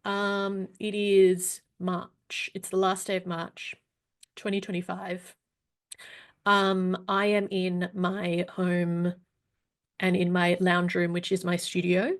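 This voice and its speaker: A woman's voice: lowish voice, monotone, takes it slowly. A woman in her thirties with a blocked nose from hay fever, co-hosting a casual podcast from her lounge room over a video call. She chats in a playful, easygoing way. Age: 30s. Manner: chatty, playful, laughs often. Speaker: podcast co-host. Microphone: home podcast mic over video call.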